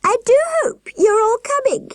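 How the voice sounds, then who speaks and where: Shrill, warbling, sugary giant nurse. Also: female voice, normal speed, no room sound, studio quality. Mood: gushing, insistent. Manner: warbling, affected, over-genteel. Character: huge nurse with falsely sweet, fluting high voice. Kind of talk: audiobook character voice.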